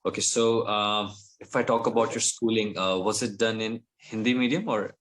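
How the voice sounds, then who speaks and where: English tutor guy, patient, fixes mistakes kindly, lowish voice. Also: steady tone, normal speed. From India. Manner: patient, guiding, corrects gently. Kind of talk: tutoring conversation.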